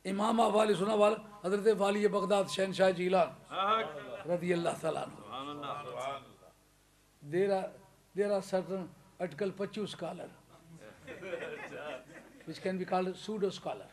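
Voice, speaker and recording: A man's voice: sits high, steady tone, moderate pace, pauses often. A man from Pakistan with a Pakistani accent, preaching an English sermon to a congregation with emphatic stresses and frequent chuckles. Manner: emphatic, rising to loud stresses, chuckles between points. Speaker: religious preacher.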